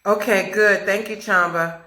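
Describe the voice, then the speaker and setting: A woman's voice: mid-range voice, steady tone, a little slow, sharp tone, low-quality recording. A woman hosting a casual live-stream chat interview over a video call, talking in an effusive, gushing way. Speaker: host of a live chat interview, audio drama maker.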